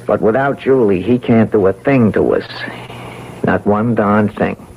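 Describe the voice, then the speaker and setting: An actor playing a jittery small-time American crook with rough, slangy speech, in old radio drama style. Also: male voice, lowish voice, a little slow, full character voice, rough recording. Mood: grim, scheming. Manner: excitable, rough colloquial grammar, stammering when scared. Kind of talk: vintage radio drama acting.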